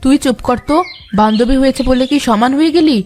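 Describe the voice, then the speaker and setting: High-pitched haughty scolding village mother, played by a woman. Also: fast, darkish tone, natural voice. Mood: scornful. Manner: condescending, scolding. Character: haughty, scornful village mother looking down on poor. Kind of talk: cartoon drama dialogue. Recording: faint music behind the voice.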